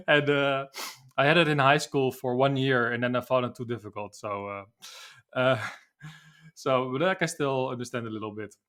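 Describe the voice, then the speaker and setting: A man in his forties from the Netherlands with a Dutch accent, answering as a podcast guest in a relaxed, hesitant way with many fillers and restarts. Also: medium pitch, a little slow, long pauses. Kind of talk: casual interview answers.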